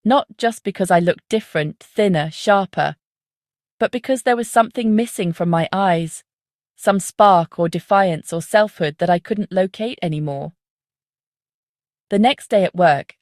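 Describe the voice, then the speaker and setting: A woman narrates a dark psychological story in the first person. Her voice sits on the low side, and the sound is very dry, with no room around it. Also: no echo.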